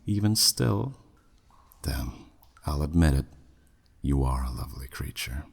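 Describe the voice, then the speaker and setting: A man's voice: animated, measured pace, heavily acted. A man voicing a flirty, menacing demon who taunts a captive in a teasing, smug way, in a scripted ASMR roleplay. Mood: mocking, flirty. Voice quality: very deep, husky, breathy at phrase ends. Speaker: audio roleplay performer.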